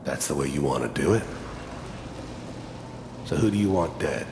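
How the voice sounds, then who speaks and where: World-weary game mercenary, extremely low gravelly voice, terse and bitter, roomy echo. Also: male voice, expressive, moderate pace, background noise, heavily acted. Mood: cold, terse. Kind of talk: video game voice acting, reflective narration and dialogue.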